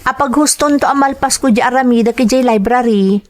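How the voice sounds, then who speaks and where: A woman's voice: sits high, fairly quick, natural voice. A woman with an Ilocano accent playing a dutiful, sheltered young college student in an audio drama. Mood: light, friendly.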